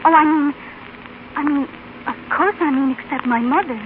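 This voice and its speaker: Casting: actress as well-mannered, affectionate teenage daughter, high girlish voice, American, gushing delivery, vintage radio drama, narrow tinny sound. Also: normal speed, lightly acted. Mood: grateful, gushing. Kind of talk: 1930s-1950s American radio drama acting. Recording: tinny, band-limited old radio broadcast, faint noise.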